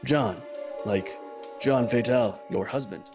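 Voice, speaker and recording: A man playing a deadpan, gullible detective with a clipped manner in a comedy noir radio play. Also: medium pitch, measured pace, natural voice. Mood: hurt, baffled. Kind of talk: radio drama comedy acting. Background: music.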